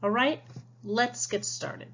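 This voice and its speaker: Slow-paced, animated woman teacher reading aloud. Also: a little high, vocal fry, husky, dull audio. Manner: instructive, addresses children directly with questions and prompts. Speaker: teacher reading a picture book aloud to young pupils. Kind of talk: read-aloud science lesson.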